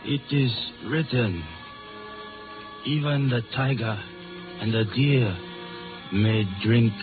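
An actor playing a grave, resigned Chinese tong leader in a 1950s radio drama, speaking in a hushed, ceremonial manner. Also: male voice, medium pitch, slow, over music, natural voice. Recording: dull, muffled 1950s radio recording.

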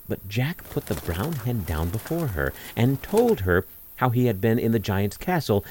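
Lively low-voiced male storyteller. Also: a little hiss or hum. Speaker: storyteller, narrator. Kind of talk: expressive scripted fairy tale narration. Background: music under the voice.

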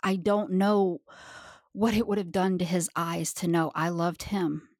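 A woman's voice: on the low side, flat delivery, measured pace, darkish tone, no room sound, studio quality. A woman playing a tough, blunt, regretful woman of the space underworld, confrontational, in a sci-fi audio drama. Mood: hard, regretful.

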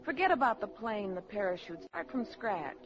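A woman's voice: medium pitch, very animated, moderate pace, faint music behind. A woman acting a brash, frank press agent in a 1940s radio drama, talking in a direct, slangy way. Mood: urging. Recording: muffled 1940s radio recording.

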